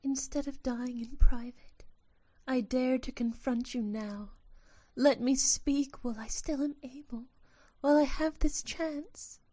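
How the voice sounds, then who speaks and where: A woman voicing a gentle, loving magical sylph who is dying, soft and solemn, in an audio drama. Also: sits high, steady tone, unhurried. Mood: tender, fading. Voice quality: smooth, soft.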